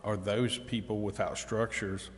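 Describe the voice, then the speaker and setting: A man's voice: on the low side, little pitch movement, measured pace. A man speaking informally as a council member, putting questions in a public meeting. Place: council chamber. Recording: reverberant meeting hall.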